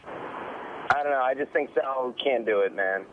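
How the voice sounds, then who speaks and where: A man's voice: medium pitch, measured pace. A man calling in to a radio show over the phone, arguing his point casually. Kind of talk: casual argumentative phone call. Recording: tinny, narrow phone line, faint noise.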